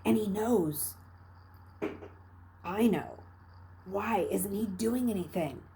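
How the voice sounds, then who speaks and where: A woman's voice: medium pitch, slow pace, mellow, slight background noise. A woman voicing a frightened, bitter young boy on the run in a storybook, tense and urgent, sometimes whispering. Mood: anxious, puzzled.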